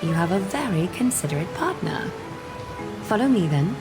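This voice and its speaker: A woman voicing a composed, noble female leader in a fantasy game, speaking formally and with measured, gentle authority. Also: lowish voice, big pitch swings, over loud music. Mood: formal, courteous.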